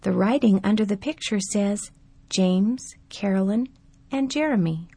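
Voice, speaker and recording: A woman voicing a mild, forgetful old grandfather in a storybook, speaking slowly and musing. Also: mid-range voice, mellow, slightly rough, dry recording. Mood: gentle.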